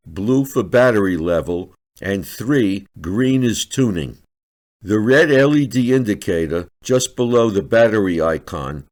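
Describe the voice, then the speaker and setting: A man's voice: lowish voice, darkish tone. A man reading a scripted instructional product review in a methodical, step-by-step manner.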